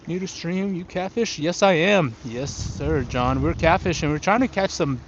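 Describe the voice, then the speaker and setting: Casting: young male livestream host from Ukraine, English as a learned language, slightly high voice, informal chat, faint background noise. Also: animated, moderate pace, highs cut off. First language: not English, learned it after moving to the US. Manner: informal, switches between English and Russian. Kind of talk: casual livestream chat, answering viewers. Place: outdoors on a riverbank in wind.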